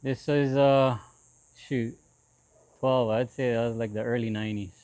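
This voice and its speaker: A man in his forties from Hawaii chats casually as a guest. His pitch sits in the middle of the male range and the sound is dry and close. He talks haltingly, circling back over short phrases. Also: steady tone, darkish tone, no room sound. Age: 40s. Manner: halting, repeats phrases and affirmations. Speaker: interview guest, lowrider builder. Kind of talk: casual conversational interview.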